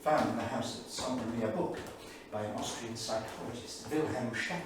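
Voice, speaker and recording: An actor playing a brooding English intellectual of the 1930s in a staged reading, speaking in a grave, rhetorical manner. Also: male voice, mid-range voice, a little fast, lightly acted. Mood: reflective. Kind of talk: staged reading of a dramatic monologue. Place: small theatre studio. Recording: distant, echoey theatre recording with noise.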